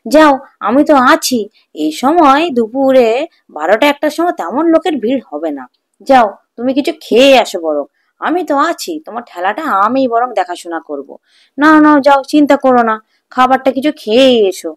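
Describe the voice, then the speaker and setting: A jealous middle-aged street-food seller from a children's cartoon, acted out by a woman. He is gruff and sly, with a sneering, scheming manner. The sound is dry and close. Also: high-pitched, very animated, normal speed, dry recording. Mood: falsely friendly. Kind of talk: Bengali children's cartoon dubbing.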